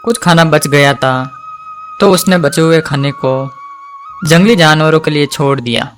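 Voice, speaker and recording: Guy telling a kids cartoon folk story, voice a bit high, soft music under him. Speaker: storyteller, narrator. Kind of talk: scripted narration of a children's cartoon folk tale.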